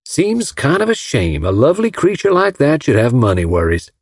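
A man voicing a wealthy, folksy gentleman from the South, talking effusively. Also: medium pitch, wildly swooping pitch, normal speed, husky, no room sound, clean studio sound, pitched above the performer's own voice, exaggerated voice. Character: wealthy Alabama gentleman, gushing and admiring.

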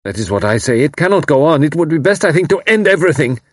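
A man's voice: mid-range voice, moderate pace, dry recording, studio quality, voice pushed up, natural voice. A man voicing an anguished, bitter young German refugee scientist who pleads with intensity.

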